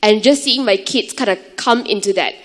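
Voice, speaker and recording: A woman's voice: medium pitch, moderate pace. Earnest woman speaking at a forum. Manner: earnest, conversational, with many fillers. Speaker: youth leader working with refugee children. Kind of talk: panel forum talk. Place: conference hall.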